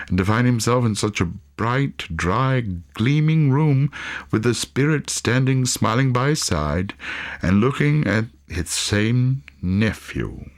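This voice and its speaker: A man reading a literary story as an audiobook, with measured, theatrical phrasing. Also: on the low side. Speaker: audiobook reader.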